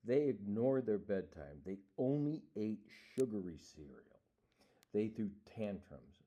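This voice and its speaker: A man's voice: on the low side, very animated, measured pace, dark tone, dull audio. A man reading a children's picture book aloud in an informal, rambling, playful way with goofy ad-libbed asides.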